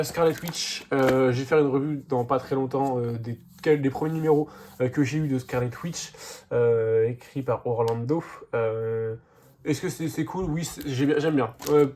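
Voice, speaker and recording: A man's voice: medium pitch, darkish tone, a little hiss or hum. A man with a head cold and a slightly sore throat, talking through a casual comics haul video with lots of hesitant fillers. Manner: hesitant, many "euh" fillers and restarts. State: congested, slightly hoarse from a cold. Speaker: comics vlogger.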